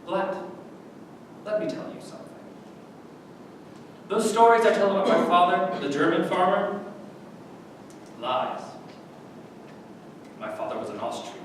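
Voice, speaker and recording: An actor in a staged reading playing a menacing, bitter Nazi camp guard with a brooding, volatile way of talking. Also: male voice, a little slow, long pauses. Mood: confiding, bitter. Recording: echoey hall from far off, noisy rumble.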